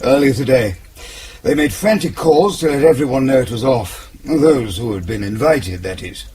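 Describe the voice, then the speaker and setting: An actor playing a composed, authoritative intelligence chief in a radio drama, measured and probing. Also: male voice, medium pitch, expressive, darkish tone, a little hiss or hum, close to an everyday voice.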